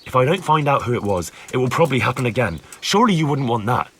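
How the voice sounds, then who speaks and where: A man's voice: mid-range voice, big pitch swings, quick pace, a bit bright, breathy edge. An actor playing a dogged young medieval village man in a video game, plain-spoken, earnest and insistent. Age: young adult. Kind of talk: video game cutscene acting.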